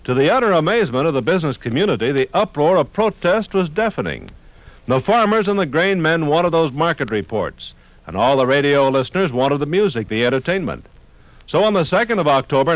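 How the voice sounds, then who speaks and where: Animated American man narrating, dry sound. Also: fairly high pitch, dry recording, highs cut off. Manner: clear explanatory phrasing, formal announcer delivery. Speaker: documentary narrator. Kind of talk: scripted 1950s radio documentary narration.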